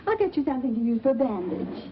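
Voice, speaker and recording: An actress playing a brisk, exasperated but caring mother in 1940s film style. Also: high-pitched, sing-song, noisy background. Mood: firm, exasperated. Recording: dull old film soundtrack with music.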